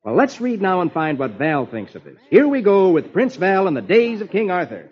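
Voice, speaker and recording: A man's voice: high-pitched, sing-song, fairly quick, husky, heavily acted. A man playing a genial reader of comic strips to children, with theatrical 1940s radio storytelling delivery. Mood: genial, dramatic. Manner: theatrical, dramatic. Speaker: host reading the comics. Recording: dull old radio recording with music.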